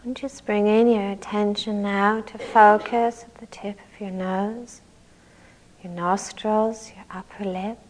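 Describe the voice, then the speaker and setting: A woman leading a guided meditation as a teacher, speaking gently and calmly in unhurried phrases with long pauses. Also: mid-range voice, low-quality recording.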